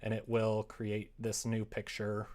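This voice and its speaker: A man chatting casually on a livestream as he works, rambling and self-deprecating, often muttering to himself, with a gravelly, creaky voice. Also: lowish voice, monotone, unhurried, darkish tone. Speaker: live streamer, game developer. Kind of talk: casual livestream chat while working on screen.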